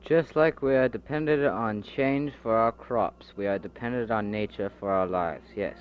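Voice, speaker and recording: A man's voice: lowish voice, moderate pace, rough recording. A man in a home-made audio drama, debating philosophy earnestly and stumbling over repeated restarts. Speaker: amateur audio drama performer voicing several characters. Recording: faint tape hiss from an old cassette.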